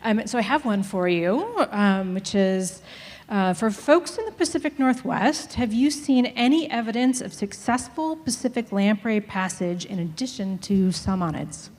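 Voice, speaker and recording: Woman moderator, mid-pitched, brisk and apologetic, reverberant hall. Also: animated, darkish tone. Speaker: co-host and moderator.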